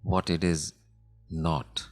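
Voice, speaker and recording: A man with a deep voice and an Indian accent guides a contemplative meditation. He speaks deliberately, leaving long pauses between measured phrases. Instrumental music plays clearly behind his voice. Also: flat delivery, dry recording.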